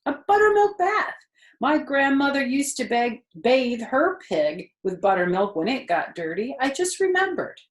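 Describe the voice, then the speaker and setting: A woman's voice: sits high, very animated, unhurried, reverberant, pitched above the performer's own voice. A woman voicing a determined, fussy farm wife in a storybook, emphatic and insistent.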